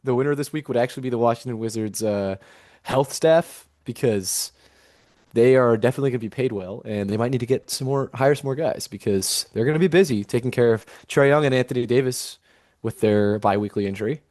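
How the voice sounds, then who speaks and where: A man's voice: mid-range voice, a little fast, darkish tone, no echo. Casual male sports podcaster, dry sound.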